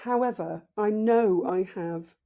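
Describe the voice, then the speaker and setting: A woman in her fifties with a deep voice, a podcast guest talking slowly and thoughtfully in a casual interview. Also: dark tone. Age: 50s. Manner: slow, thoughtful, reflective.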